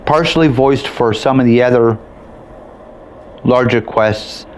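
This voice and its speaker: A man's voice: mid-range voice, measured pace. A man giving casual commentary as he plays and reviews a video game. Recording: faint background noise. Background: game ambience.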